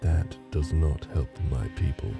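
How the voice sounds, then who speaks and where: Man playing a very deep, gravelly, haughty fae lord. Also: dark tone, faint music behind, heavily acted. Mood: guarded, grudging. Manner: formal, condescending, measured. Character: haughty, manipulative fae father, cold and imperious. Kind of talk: fantasy audio roleplay acting.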